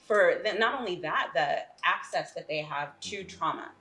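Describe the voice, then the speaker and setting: A woman's voice: fairly low pitch, expressive, normal speed, bright, forceful tone. A woman giving conversational interview answers as a guest playwright, often checking in with the listener. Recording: faint background room noise.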